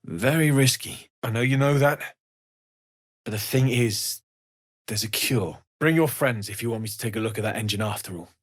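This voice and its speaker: A man's voice: medium pitch, normal speed, no room sound, studio quality, natural voice. An actor playing an earnest, kindly young male blacksmith refugee in a fantasy video game, speaking sincerely and politely with plenty of expression. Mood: concerned. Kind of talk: scripted fantasy game dialogue.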